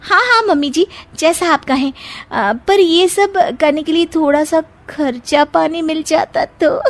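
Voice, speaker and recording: Cheeky, very high-pitched young daughter-in-law played by a woman. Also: slow. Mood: cheerful, cheeky. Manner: exclamatory, gleeful. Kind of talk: cartoon story acting.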